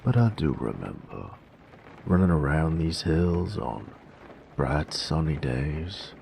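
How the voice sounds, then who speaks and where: Casting: gruff, jaded bandit drifter, played by a male actor, extremely low and heavily creaky, sardonic folksy drawl, for roleplay audio drama. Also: lively intonation, takes it slowly, darkish tone, a little hiss or hum, heavily acted. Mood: wistful. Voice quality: gravelly, very low voice.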